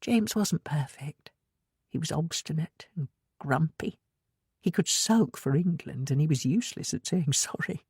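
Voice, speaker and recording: A woman voicing a grieving widowed mother in an audiobook, husky, hushed and halting as she confides. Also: sits low, lively intonation, no room sound, clean studio sound, exaggerated voice. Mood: sorrowful, confiding. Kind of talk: audiobook character dialogue.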